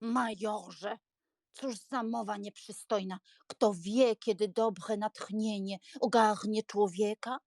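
An actress playing a flustered, pious, sentimental elderly spinster aunt in an audiobook drama, plaintive and fretful. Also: female voice, mid-range voice, fairly even intonation, slow pace, darkish tone, no room sound, clean studio sound. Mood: pleading, indignant. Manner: plaintive, fretful, easily shocked.

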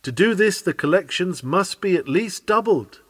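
Man, somewhat high pitch, oratorical sermon reading, earnest, very dry recording. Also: animated, moderate pace, breathy edge, no echo. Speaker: sermon reader.

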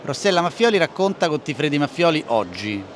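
A man's voice: fairly high pitch, very animated, a little fast. A man, interviewing in a chatty, informal way. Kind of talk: conversational interviewing. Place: open trade-show stand. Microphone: shared handheld microphone. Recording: faint trade-fair background noise. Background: faint ambient noise.

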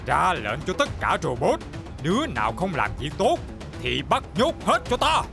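An actor voicing a gloating, tyrannical monster boss in a cartoon, drawling out commands. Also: male voice, on the high side, huge pitch swings, a little slow, creaky, music in the background, exaggerated voice. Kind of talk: animated series voice acting.

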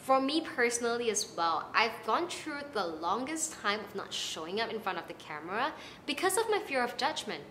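A young woman from Malaysia with a Malaysian accent, giving casual, animated advice to the camera from her living room. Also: fairly high pitch, normal speed, a little hiss or hum. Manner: chatty and animated, gesturing with her hands. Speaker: content creator. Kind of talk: casual talking-head vlog advice. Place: small apartment living room, indoors.

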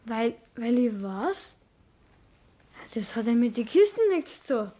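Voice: mid-range voice, sing-song, measured pace, dark tone. A performer playing a timid, guilty teenage boy apprentice in a 1960s radio play, hesitant and stammering, with a Bavarian accent. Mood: nervous, guilty.

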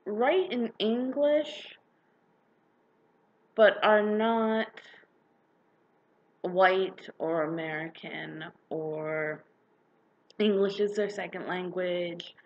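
A young woman talks things through at an unhurried, measured pace, her voice a little hoarse from a cold. She is frank and opinionated, with the odd swear. The sound is dull and lo-fi, like a webcam in a living room. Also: fairly low pitch. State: slightly hoarse, getting sick. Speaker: book vlogger. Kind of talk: casual opinion vlog. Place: living room with a couch, indoors.

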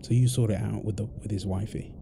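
A man playing an affectionate, tired husband and father in an intimate audio roleplay, talking tenderly with soft endearments. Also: lowish voice, fairly even intonation, a little fast. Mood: caring, relaxed. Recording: clear background ambient noise.

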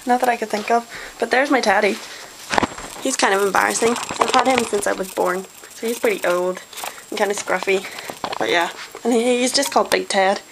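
Chatty Northern Irish teen girl, gravelly. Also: medium pitch, a little fast. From Northern Ireland. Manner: chatty, self-deprecating, many ums. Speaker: vlogger. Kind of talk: casual vlog chat. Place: small bedroom at home. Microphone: built-in webcam mic. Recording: noisy home webcam recording.

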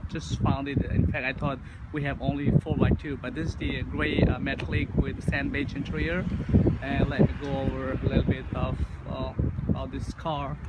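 A hoarse man, a car salesman, narrating a casual walkaround video of a vehicle for a customer, with lots of fillers. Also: medium pitch, level tone, moderate pace. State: hoarse from losing his voice. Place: outdoors on a car dealership lot. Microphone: handheld phone camera. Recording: loud outdoor lot noise.

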